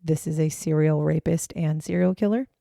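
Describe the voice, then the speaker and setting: A woman co-hosting a casual true-crime podcast, her voice hoarse and raspy today, talking in a chatty, blunt way with casual swearing. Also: deep voice, steady tone, moderate pace, warm tone, no echo, studio quality. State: hoarse, raspy voice after choking on water. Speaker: podcast co-host.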